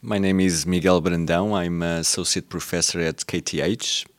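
A man's voice: low-pitched, fairly even intonation, moderate pace, no echo. A man, an associate professor, explaining his work in a precise, academic manner as a podcast guest.

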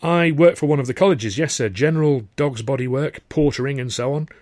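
A man's voice: mid-range voice, a little fast, a little mellow, no room sound, close to an everyday voice. A man voicing a polite, eager young college servant with a deferential, hesitant manner. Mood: sheepish.

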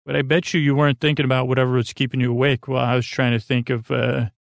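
A man's voice: medium pitch, little pitch movement, quick pace, vocal fry, dry recording. A man hosting a bedtime podcast, rambling and meandering, stammering and losing his train of thought as he talks. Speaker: podcast host.

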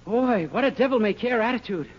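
A man's voice: very high-pitched, a little fast. An actor playing a naive, well-meaning, bumbling young man in an earnest, guileless way, in a 1940s radio comedy. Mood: innocent, polite. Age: young adult. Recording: muffled, noisy 1940s radio recording.

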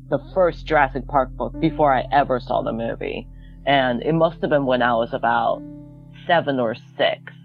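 A woman talking as a guest on a podcast, chatty and quick to laugh, with a lot of filler words. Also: rumbling low. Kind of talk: casual podcast chat. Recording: tinny phone-like line.

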